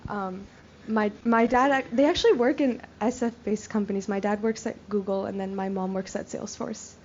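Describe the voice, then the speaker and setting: A young woman from India talks casually and hesitantly, pausing on fillers and correcting herself. The recording is dull and lo-fi. A faint hiss runs underneath. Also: mid-range voice, fairly even intonation, darkish tone. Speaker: youth retreat participant. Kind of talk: casual chat.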